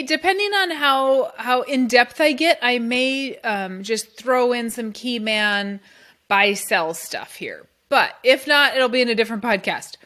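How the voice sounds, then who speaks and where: A woman's voice: fairly high pitch, pushed and bright. A middle-aged woman hosting her own podcast, explaining insurance in a casual, emphatic way and quoting clients along the way. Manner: emphatic, conversational, quotes clients in asides. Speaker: podcast host and insurance advisor. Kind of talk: casual solo podcast talk.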